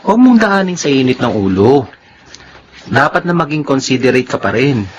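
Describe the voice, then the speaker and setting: A considerate Filipino office supervisor , played by a man, in a radio drama. He reasons and reproves in a medium-pitched voice, with faint noise behind him. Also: very animated, moderate pace, highs cut off, natural voice. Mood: reproving. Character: calm, considerate, fair-minded male office supervisor. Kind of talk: radio drama acting in Tagalog.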